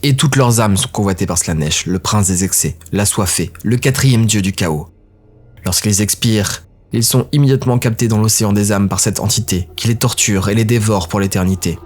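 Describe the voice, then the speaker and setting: A man narrating a dark fantasy audio drama in a steady, grave way. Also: lowish voice, moderate pace.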